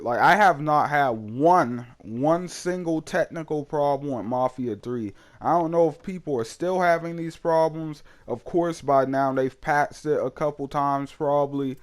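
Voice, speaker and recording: A young man hosting his gaming channel, reviewing a game in casual, rambling talk full of fillers, his voice a bit hoarse from a cold. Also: on the high side. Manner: rambling, many fillers and restarts.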